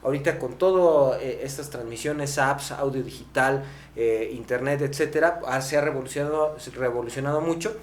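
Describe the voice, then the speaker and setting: A Mexican man hosting a podcast, explaining conversationally and haltingly, often tagging his phrases with a questioning word. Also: mid-range voice. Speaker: podcast host. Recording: echoey room, clear background noise, hum.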